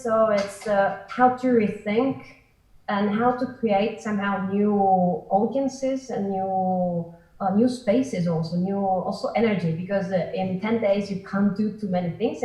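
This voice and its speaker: Casting: woman panelist, soft dark tone, halting non-native English, recorded at a distance in an echoey space. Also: medium pitch. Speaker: film festival organizer, panelist. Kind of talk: unscripted panel discussion. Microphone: online call played in a room. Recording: roomy echo, distant from mic.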